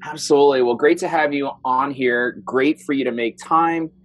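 A man's voice: medium pitch, moderate pace, soft background music. A man hosting a health podcast, introducing his guest with enthusiasm and a few filler ums. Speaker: podcast host. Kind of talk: casual interview hosting.

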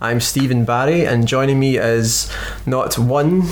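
A man hosting a casual film podcast with friends, chatty and quick to laugh, with loose restarts. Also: medium pitch. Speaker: podcast host. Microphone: desk microphone in a shared room.

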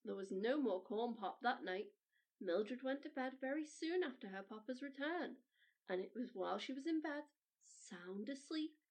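Lady reading a kids story, highish voice, very animated and expressive, like a storytime host. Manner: animated, addressing children directly. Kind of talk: expressive storybook narration for children.

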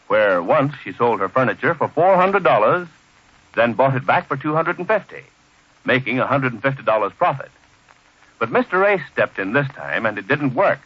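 An American man announcing in old-time radio style, reading a script with emphatic, formal salesmanship. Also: medium pitch. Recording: dull old radio recording.

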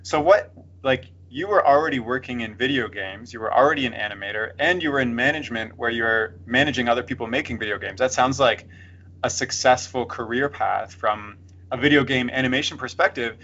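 A man interviewing a guest in a relaxed, chatty way, asking long, rambling questions full of "like.". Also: mid-range voice, fairly quick, husky, highs cut off. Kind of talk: conversational interview.

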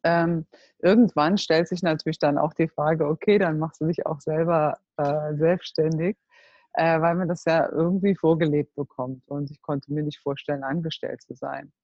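A woman in her forties from Germany telling her life story as a guest, earnest and halting, often searching for words. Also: low voice, dry recording. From Germany (Cologne). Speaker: entrepreneur, guest. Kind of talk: personal life story in a group talk. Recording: dull, dry close recording.

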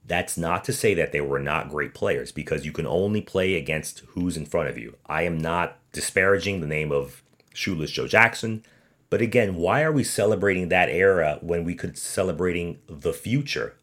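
A man's voice: low-pitched, normal speed, fairly bright tone. A man hosting a podcast, giving an opinionated sports monologue in an emphatic, sarcastic way full of rhetorical questions. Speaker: podcast host.

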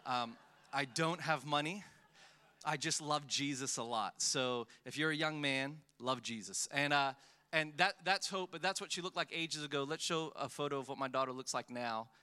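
A man's voice: fairly high pitch, normal speed, bright, forceful tone, smooth. A man in his thirties preaching as a visiting pastor, telling self-deprecating stories with jokes, fillers and restarts. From born in the Philippines, raised in Australia. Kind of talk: guest sermon, personal storytelling.

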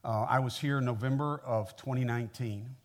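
A man's voice: medium pitch, fairly even intonation, brisk. A man in his sixties from the American Midwest with an American accent, preaching conversationally as a visiting pastor in a folksy, personable way. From Midwestern United States (Missouri). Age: 60s. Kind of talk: conversational sermon to a congregation.

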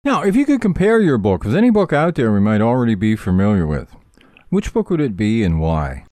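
A man's voice: lowish voice, big pitch swings, a little fast, mellow, no room sound. A man hosting an author interview show with polished, scripted presenter delivery and short questions to his guest.

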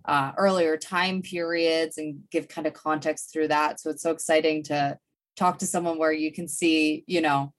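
A woman's voice: deep voice, steady tone, normal speed. A woman hosting an interview, talking enthusiastically with many "you know" fillers. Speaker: podcast host. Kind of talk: interview chat.

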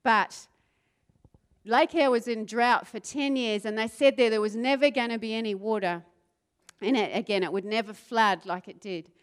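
A woman's voice: on the high side, normal speed, smooth. A woman with a New Zealand accent preaching a sermon, talking with the crowd and building to fervent passages. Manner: builds to fervent, rising intensity; asks the crowd questions, conversational asides.

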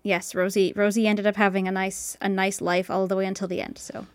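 A guest on a casual podcast, telling personal stories in a chatty way with plenty of fillers. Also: female voice, medium pitch, flat delivery, fairly quick, no room sound, studio quality. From United States. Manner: chatty, storytelling with many fillers.

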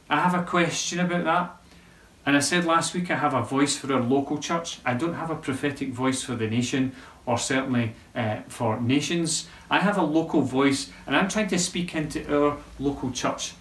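A man preaching a sermon as pastor of a local church, conversational and halting, often restarting his sentences. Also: medium pitch. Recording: echoey room from a distance.

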